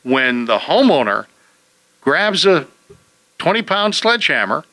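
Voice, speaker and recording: Bright, joking radio host. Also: male voice, on the high side, big pitch swings, unhurried, no room sound, low-quality recording. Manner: joking, wisecracking. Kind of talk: casual radio chat.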